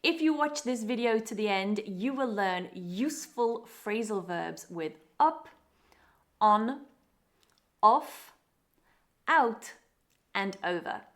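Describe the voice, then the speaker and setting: A British woman with a British English accent, presenting a scripted online English lesson in a clear, upbeat teaching manner. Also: mid-range voice, animated, takes it slowly, pauses often, fairly bright tone. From Britain.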